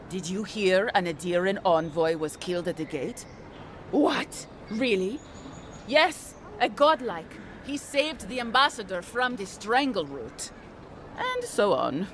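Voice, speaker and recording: An actress voicing a chatty, earnest townswoman in a video game, talking in a gossipy, animated way. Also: mid-range voice, unhurried, a little hiss or hum. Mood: gossipy, curious. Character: chatty, earnest townswoman asking help for friends. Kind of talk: video game character dialogue.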